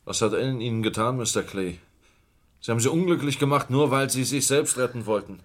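A man's voice: medium pitch, fairly quick, natural voice. An actor playing an aggrieved man demanding a reckoning in a 1970s radio crime drama. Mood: accusing, outraged.

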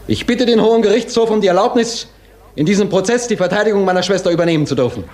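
An actor playing an earnest, formal lawyer in a 1940s German radio courtroom drama, speaking in a declamatory way. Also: male voice, high-pitched, fast talker, darkish tone, close to an everyday voice. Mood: urgent, formal. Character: earnest, formal male lawyer defending his sister.

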